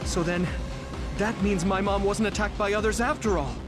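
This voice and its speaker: A man's voice: high voice, normal speed, over loud music, natural voice. An actor playing an earnest, impassioned young male hero in a video game cutscene. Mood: outraged.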